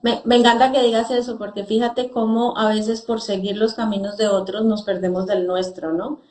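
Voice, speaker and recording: A woman's voice: mid-range voice, level tone, moderate pace, darkish tone. A woman hosting an interview in a conversational style, speaking in Spanish. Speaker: interview host. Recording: echoey room sound.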